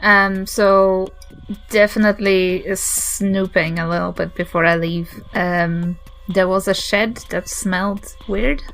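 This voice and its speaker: A woman's voice: mid-range voice, fairly even intonation, slow pace, creaky, a bit bright. A woman with a New Zealand accent speaking casually as a player in a tabletop game. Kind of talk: casual chat.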